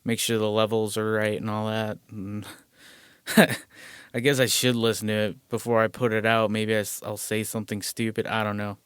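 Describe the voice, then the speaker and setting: A man hosting a casual solo podcast, rambling and profane with self-deprecating asides, recorded close on a mic in a small quiet room. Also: on the low side, little pitch movement, moderate pace, clear, resonant tone, no echo. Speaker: podcast host.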